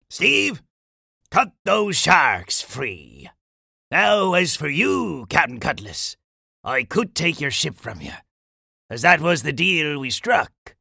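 A man voicing a stern, honourable old pirate captain in a children's story, gravelly and declamatory. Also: fairly high pitch, bright, forceful tone, dry recording, studio quality, heavily acted. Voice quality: gravelly, creaky. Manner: emphatic, declamatory, moralising.

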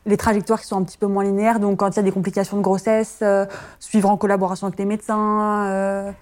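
A woman's voice: mid-range voice, little pitch movement, quick pace, darkish tone. A woman, a midwife talking about her work in an interview, hesitant with many fillers and easy laughter. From France. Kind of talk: personal interview testimony.

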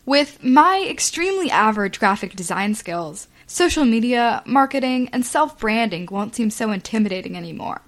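Woman, parody ad read, salesy, tongue-in-cheek, slightly high voice. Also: animated, moderate pace, few pauses. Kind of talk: mock infomercial pitch.

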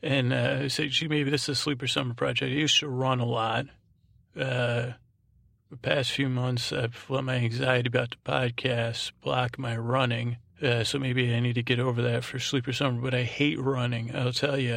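A man hosting a bedtime podcast, talking in a meandering, halting monologue, often repeating himself and trailing off mid-word. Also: mid-range voice, level tone, gravelly, a bit bright, no echo, studio quality. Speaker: podcast host. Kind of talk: rambling bedtime monologue to help listeners sleep.

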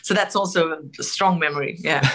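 A middle-aged Swedish woman with a Swedish accent, telling animated stories in a casual interview, laughing often and full of fillers and restarts. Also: low-pitched, normal speed. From Sweden. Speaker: TV host, interview guest.

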